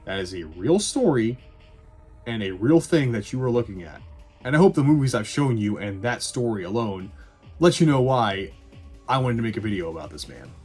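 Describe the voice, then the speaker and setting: A man with a husky voice giving casual, scripted movie review commentary in a sarcastic, irreverent, sweary manner. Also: fairly low pitch, sing-song, normal speed, darkish tone, soft background music. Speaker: video reviewer.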